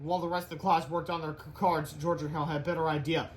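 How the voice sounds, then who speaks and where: A man's voice: a little high, flat delivery, fast talker, slight background noise. A man narrating a children's book read-aloud, stumbling over words now and then.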